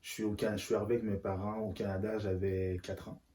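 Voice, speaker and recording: A man's voice: on the low side, little pitch movement, quick pace, darkish tone. Hesitant man in his thirties. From Central Africa. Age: 30s. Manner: hesitant, repeats words, earnest. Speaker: interview guest. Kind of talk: candid conversation. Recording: roomy echo.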